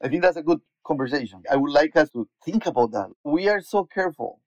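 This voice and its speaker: A man's voice: on the high side, expressive, fast talker, no echo, poor audio. A man from Colombia, an activist and educator, speaking as a guest in earnest, searching conversation with frequent restarts. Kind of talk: conversational interview.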